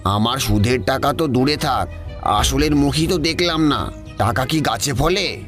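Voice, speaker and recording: A man's voice: mid-range voice, expressive, unhurried, natural voice. A man voicing a greedy, cruel village moneylender in a cartoon, bullying and scornful. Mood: demanding, angry. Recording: music playing behind the voice. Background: background music.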